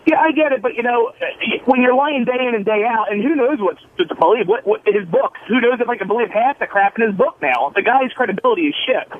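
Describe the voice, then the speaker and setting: A man's voice: very high voice, lively intonation, fast talker, hardly any pauses. A man calling in to a radio show, arguing bluntly for his opinion over a narrow telephone line. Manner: blunt, argumentative. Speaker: phone-in caller.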